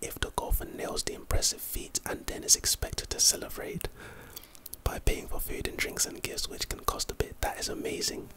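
A man's voice: normal speed, bright, forceful tone, low-quality recording. A man with a London accent doing casual ASMR commentary, reading and reacting to trivia in a rambling, playful, slangy way. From London, England.

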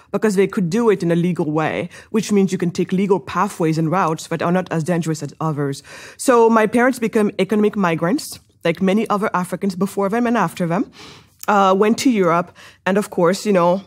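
A middle-aged woman from Senegal, an entrepreneur, telling her life story in an interview with lively, rising excitement and many fillers. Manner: animated, many fillers, rises high when excited. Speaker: guest entrepreneur.

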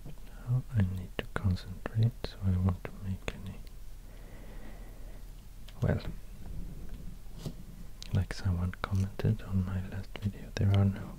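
Slow whispering man with a Swedish accent. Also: deep voice, level tone, background noise. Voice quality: breathy whisper. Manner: slow, hesitant, murmuring to the listener. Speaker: ASMR creator. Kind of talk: soft-spoken whispered ASMR. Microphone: close binaural microphone.

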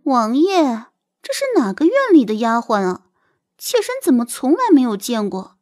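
An actress playing a coquettish, scheming young concubine in an audio drama, with a simpering, wheedling manner. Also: female voice, a little high, big pitch swings, normal speed, a little mellow. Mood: sweetly catty. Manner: simpering, affected, sweetly wheedling. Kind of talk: Chinese audio drama acting. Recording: music bed behind the voice.

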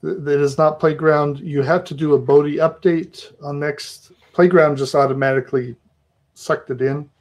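Man, middle-aged, video call, chatty presenter, slightly high voice. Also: normal speed. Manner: relaxed, chatty, laughs at himself. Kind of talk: conference talk over video call.

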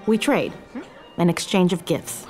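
An actress playing a proud, hesitant young woman who speaks earnestly and haltingly in a podcast romcom drama. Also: on the low side, a little mellow, faint music behind, lightly acted. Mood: resolved.

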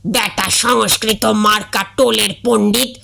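Woman doing a booming gruff man. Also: fairly even intonation, unhurried, exaggerated voice. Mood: scolding. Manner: shouting, bossy. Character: booming loud-voiced man, club secretary, blustering.

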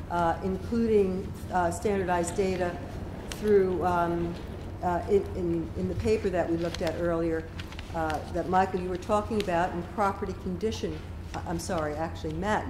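An older woman moderating a panel discussion, putting questions in a halting way. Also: fairly low pitch. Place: panel in a hard-walled room. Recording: roomy sound, noisy background.

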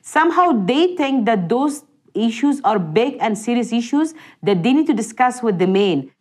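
A woman's voice: on the high side, sing-song, normal speed, a little mellow, breathy edge. A woman in her thirties with an Afghan accent, a former politician speaking earnestly and firmly in a documentary interview, seated indoors.

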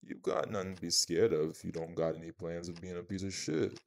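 An actor playing an imposing, protective vampire man in an audio roleplay, dry-witted, haughty and measured, with a gravelly voice. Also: deep voice, darkish tone, slight background noise. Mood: threatening.

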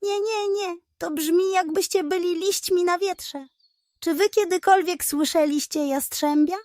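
A woman voicing a sly, scheming, excitable male pug in a children's storybook, with a theatrical, flattering manner. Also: very high-pitched, unhurried, no room sound, studio quality, pitched above the performer's own voice. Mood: disgusted.

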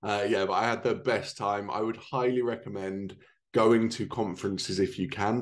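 A man's voice: lowish voice, a little mellow. A man in his thirties presenting a podcast in a chatty way from a living room at home. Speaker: podcast host.

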